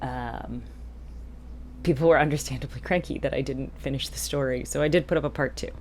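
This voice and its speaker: A woman's voice: a bass voice, steady tone, brisk, background noise. A middle-aged woman, a boat captain, chatting casually to camera in a wry, self-deprecating way. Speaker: boat captain and online boating vlogger. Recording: music playing behind the talk. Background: music.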